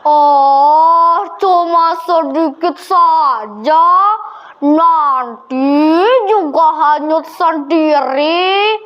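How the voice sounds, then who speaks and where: A woman's voice: high voice, very slow, muffled recording. A young girl voicing a careless, offhand male heron in a children's story. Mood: nonchalant. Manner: casual, offhand.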